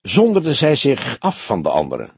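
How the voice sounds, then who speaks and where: A man voicing a calm, courteous old police detective in an audiobook, questioning formally and probingly. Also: mid-range voice, normal speed, creaky, slight background noise. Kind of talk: audiobook character dialogue.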